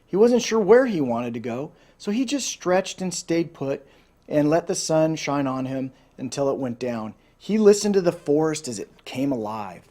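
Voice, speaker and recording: Higher-voiced man reading a storybook aloud. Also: animated, moderate pace, dark tone. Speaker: storybook reader. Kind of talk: expressive children's read-aloud storytelling with asides.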